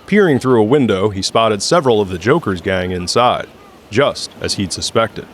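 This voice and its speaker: A man's voice: on the low side, lively intonation, moderate pace, slight background noise. A man reading scripted third-person narration for an audio drama.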